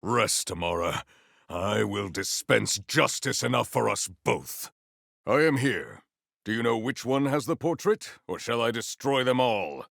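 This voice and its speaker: A man voicing a stoic, honourable warrior in a video game, speaking in formal, stately phrases with a gravelly voice. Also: medium pitch, expressive, a bit bright, no echo, studio quality, heavily acted. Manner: formal, stately, measured diction.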